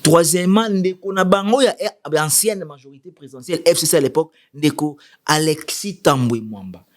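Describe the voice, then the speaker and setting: A man's voice: fairly high pitch, moderate pace. A man with a Congolese accent giving political commentary in an interview, explaining emphatically and repeating his key points. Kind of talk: political interview, mixing French and Lingala.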